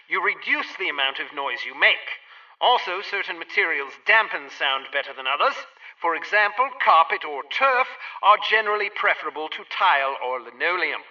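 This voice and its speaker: A man's voice: high-pitched, pushed and bright, far from the mic, lo-fi sound, heavily acted. An actor playing a fussy, eager male gadget instructor in a spy video game, speaking in precise, wordy, formal lessons. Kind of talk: scripted instructional narration, video game acting.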